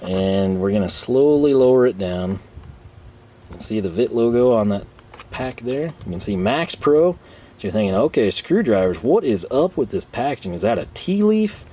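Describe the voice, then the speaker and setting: A man's voice: mid-range voice, very animated, normal speed, dark tone. A man hosting a casual, unscripted product review, rambling and conversational with some self-deprecation, his voice a bit tired. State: tired voice starting to give out. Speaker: host of tool review channel.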